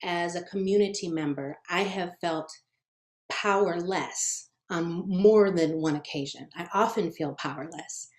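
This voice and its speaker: A woman, a mother, speaking softly and introspectively on a panel discussion, with frequent "um"s. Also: lowish voice, a little slow. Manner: soft-spoken, introspective, frequent "um". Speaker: children's book author on a panel. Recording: echoey room.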